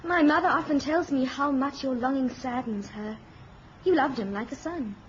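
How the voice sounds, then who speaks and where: A young noblewoman , acted by a woman, speaks in a high, earnest voice. Her manner is formal and deferential, as in a radio drama. The recording is dull and faintly noisy. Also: normal speed, lightly acted. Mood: earnest, respectful. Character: earnest, respectful young noblewoman, nearly twenty. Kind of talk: radio play acting.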